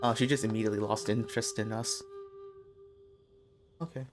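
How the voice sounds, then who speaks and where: A man's voice: fairly low pitch, steady tone, fairly quick, over music. Man muttering casual game commentary. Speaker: game streamer reacting to cutscenes.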